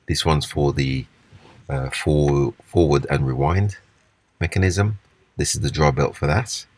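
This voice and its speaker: A man with a husky voice giving a casual, unscripted, step-by-step walkthrough of hands-on repair work. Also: extremely low pitch, no echo. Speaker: repair demonstrator.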